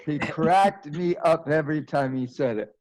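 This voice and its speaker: A man's voice: a little high, moderate pace, a little mellow. A man at a meetup chiming in with amused remarks. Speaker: meetup attendee.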